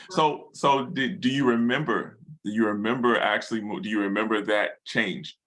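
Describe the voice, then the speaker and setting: A man's voice: lowish voice, fairly even intonation, normal speed, fairly bright tone. A man interviewing a guest about her history, asking questions with many hesitations and restarts.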